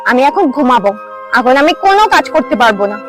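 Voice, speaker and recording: A woman's voice: sits high, very animated, quick pace, over music. A woman playing a vain, lazy young village wife in a cartoon, boastful, complaining and quarrelsome. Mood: scolding, aggrieved. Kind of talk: cartoon voice acting.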